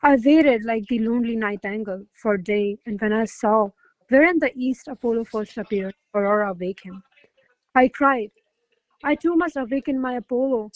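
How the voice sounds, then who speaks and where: A woman playing a devoted, excitable young lady-in-waiting in love, reciting effusive romantic verse in a classical radio play. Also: a little high, normal speed, dry recording, natural voice, poor audio. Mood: elated, adoring.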